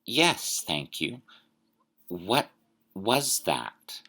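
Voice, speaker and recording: A woman's voice: a bass voice, very animated, extremely slow, a bit bright, voice pushed down, exaggerated voice. A woman voicing a young boy wizard who asks anxious, curious questions in a storybook reading. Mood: curious, uneasy.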